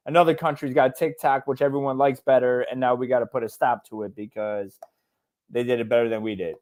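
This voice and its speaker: A man's voice: medium pitch, fairly even intonation, fairly quick, a little mellow. A man co-hosting a casual podcast, talking bluntly and with heavy swearing as he rants. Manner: blunt, profane, fired-up ranting. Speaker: podcast co-host.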